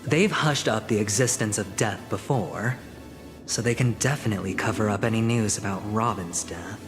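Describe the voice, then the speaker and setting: A man's voice: fairly low pitch, moderate pace, lightly acted. Male actor for scheming young negotiator in a story-driven game. Suave, showy, persuasive delivery over prominent music. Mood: smooth, scheming. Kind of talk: video game story dialogue.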